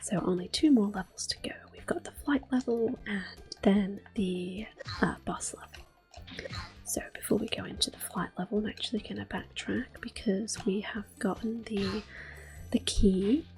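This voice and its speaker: A woman hosting ASMR gameplay, talking softly and gently with quiet laughs. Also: medium pitch, moderate pace, soft background music. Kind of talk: whispered ASMR gameplay commentary.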